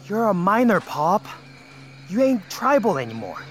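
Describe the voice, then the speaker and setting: An actor playing a blunt, worried miner arguing as a son in an audio drama, colloquial and exasperated. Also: male voice, sits high, very animated, measured pace, fairly bright tone, soft background music, full character voice. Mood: scornful.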